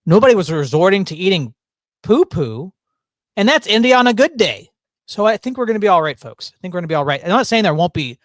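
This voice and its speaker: A man's voice: sits high, big pitch swings, fast talker, no echo, clean studio sound. A man hosting a casual chat, rambling with stops and restarts and a joking tone. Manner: rambling, self-interrupting, jokey. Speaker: podcast host.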